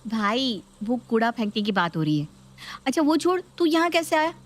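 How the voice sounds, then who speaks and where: A woman voicing a gentle, dutiful young daughter-in-law who placates her family in a dramatised story. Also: fairly high pitch, big pitch swings, moderate pace. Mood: placating. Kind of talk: dramatised Hindi story video.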